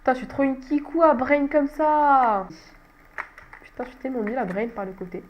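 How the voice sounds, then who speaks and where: Young woman, congested from a cold, slightly high voice, chatty and self-mocking gameplay commentary, faint hiss. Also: lively intonation, a little fast, muffled recording. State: stuffy nose from a cold. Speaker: gaming channel host.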